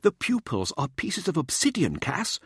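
A man's voice: high voice, wildly swooping pitch, moderate pace, a bit bright, husky, no room sound, clean studio sound, voice pushed up, exaggerated voice. A man voicing an eager, showboating male scientist in an audiobook, theatrical, pressing and didactic in his delivery. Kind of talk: audiobook character dialogue.

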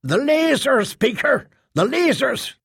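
A man's voice: high-pitched, animated, normal speed, gravelly, breathy edge, no echo, studio quality, voice pushed up, exaggerated voice. A man voicing an urgent, exasperated male trader in an audiobook, pleading as he argues. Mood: desperate.

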